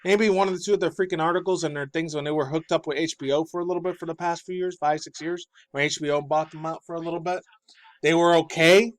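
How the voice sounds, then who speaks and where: A man's voice: fairly high pitch, fairly even intonation, quick pace, no room sound. Furious profane man ranting close to the mic. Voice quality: raspy. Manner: profane, loud and heated, swings between shouting and muttering. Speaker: pop culture news commentator. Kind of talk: angry ranting commentary.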